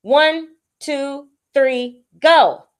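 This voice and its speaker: Woman voicing an eager, high-pitched little boy llama. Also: very slow. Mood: excited. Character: young boy llama, eager, easily hurt birthday child.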